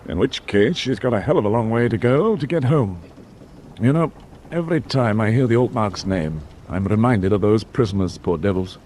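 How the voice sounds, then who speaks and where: A man's voice: lowish voice, expressive, normal speed, a little mellow, slight background noise, close to an everyday voice. A man playing a composed senior British naval officer in a radio war drama, clipped, courteous and understated. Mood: sombre.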